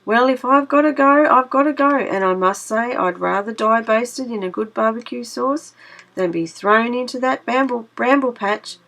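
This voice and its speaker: A woman voicing a cocky, wily male rabbit with a loud, folksy drawl. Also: a little high, normal speed, creaky, pitched above the performer's own voice. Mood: pleading.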